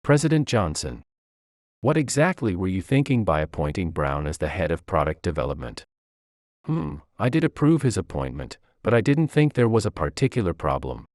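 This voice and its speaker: A man reading a scripted first-person story aloud, dialogue included, in a measured and formal way. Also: deep voice, sing-song, a little mellow, no room sound, studio quality. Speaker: story narrator.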